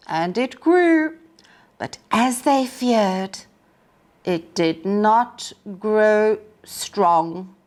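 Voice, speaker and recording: A woman reading a storybook aloud for young children in a deliberate, expressive way, as a storytime host. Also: fairly high pitch.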